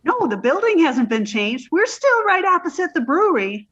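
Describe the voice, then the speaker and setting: A high, sing-song, sweetly dim young woman, voiced by a woman who breezes through every line. The sound is dull and lo-fi. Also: big pitch swings, normal speed, a bit bright, heavily acted. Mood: breezy. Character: naive, scatterbrained young woman. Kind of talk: radio comedy acting. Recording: dull lo-fi recording.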